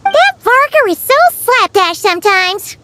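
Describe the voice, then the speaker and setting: A woman's voice: very high voice, big pitch swings, measured pace, sharp tone, dry recording, exaggerated voice. A woman voicing a tiny, chirpy, childlike fairy guide girl in a video game, speaking eagerly. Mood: mildly exasperated. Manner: refers to self by name, eager, exclamatory.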